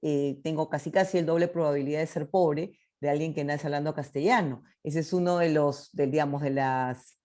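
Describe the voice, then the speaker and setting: A woman's voice: deep voice, fairly even intonation, normal speed, warm tone. A woman from Peru giving closing remarks at a panel, thoughtful, with many fillers and tag questions.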